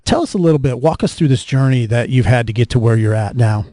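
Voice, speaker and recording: A man's voice: mid-range voice, fast talker, warm tone, no room sound, clean studio sound. A man hosting an interview podcast, asking wordy, roundabout questions in a conversational style.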